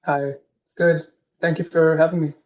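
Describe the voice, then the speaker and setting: A man's voice: on the high side, flat delivery, normal speed, highs cut off. A man in his thirties, from Singapore, answering interview questions as a guest professor, hesitant, with many fillers and restarts.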